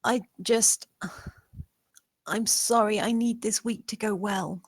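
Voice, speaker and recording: A woman playing a timid, obedient young housemaid in a dramatic script read, soft-spoken, hesitant and deferential. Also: mid-range voice, steady tone, slow pace, no echo, clean studio sound. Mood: guarded, apologetic.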